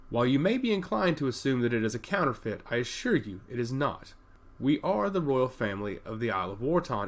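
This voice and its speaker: A man voicing a firm, commanding young royal woman in a fantasy audiobook, speaking formally and assertively. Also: medium pitch.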